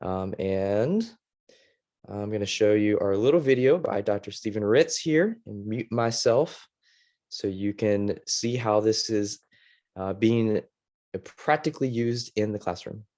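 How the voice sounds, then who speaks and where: A middle-aged American man from Ohio giving an informal online presentation as a former teacher, in a casual, halting way with many fillers. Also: lowish voice, expressive, darkish tone. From Ohio, United States. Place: video call.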